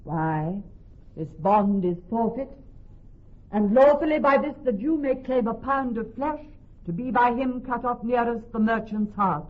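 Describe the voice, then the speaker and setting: A woman playing a clever young woman posing as a male judge in classical radio drama, speaking in a measured, formal, authoritative way. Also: a little high, animated. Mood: grave, pleading. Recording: thin, narrow-band old radio broadcast.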